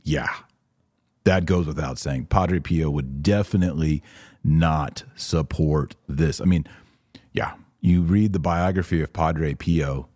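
Male podcast commentator: very low, mellow voice, conversational but emphatic delivery. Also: slow, no echo, clean studio sound. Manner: conversational, emphatic, acts out imagined exchanges. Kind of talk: casual religious commentary, answering listener questions.

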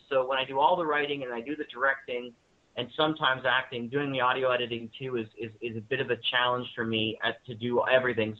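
A man speaking as a guest in a casual interview, rambling enthusiastically about his work and interests. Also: medium pitch. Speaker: guest, audio drama writer and director. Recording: narrow-band phone-like line.

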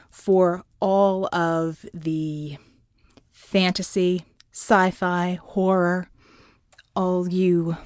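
A woman who works as a narrator, giving instructional advice in a deliberate, emphatic, articulate way on a close mic. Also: on the low side, a little mellow, no echo. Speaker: audiobook narrator. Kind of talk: instructional talk to listeners.

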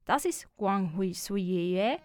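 A woman's voice: mid-range voice, lively intonation, slow, darkish tone, smooth, no echo. Woman presenting a community radio feature. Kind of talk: scripted radio narration.

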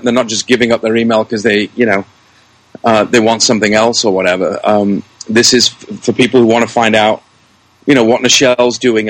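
A man of average pitch talks casually as an interview guest. His voice sounds dry and close, with no room around it, and his delivery is conversational. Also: dry recording, rough recording. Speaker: interview guest, show producer.

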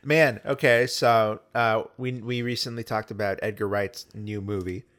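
A man's voice: lowish voice, fairly even intonation, moderate pace, fairly bright tone. An American man co-hosting a film podcast, talking in a rambling, enthusiastic, casual way. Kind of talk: casual film discussion chat.

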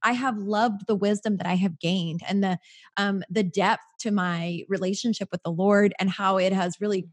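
A woman in her forties, a podcast guest, talking earnestly in casual faith-based chat, often in quick bursts. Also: mid-range voice, fairly even intonation, breathy edge. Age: 40s.

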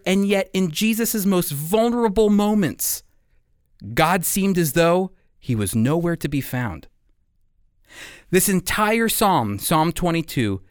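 A man's voice: a little high, smooth, no echo, studio quality. A man preaching a sermon and reading scripture aloud in an earnest, emphatic manner with dramatic phrasing.

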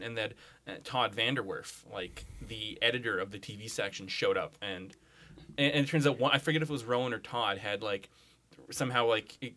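A man's voice: on the low side, bright, forceful tone. A man chatting casually as a podcast guest, telling stories in a rambling way full of "like"s. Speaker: podcast guest, comics blogger.

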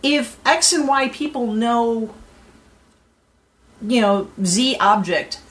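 A woman's voice: a little high, lively intonation, takes it slowly. A woman explaining her view in casual discussion, repeating phrases for emphasis. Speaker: voice actor on a round-table.

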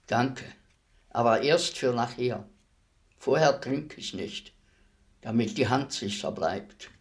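An actor playing a polite, businesslike state executioner in a German radio play, formal and matter-of-fact. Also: male voice, mid-range voice, takes it slowly. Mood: polite, calm. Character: polite state executioner, businesslike, unruffled, deferential hired killer.

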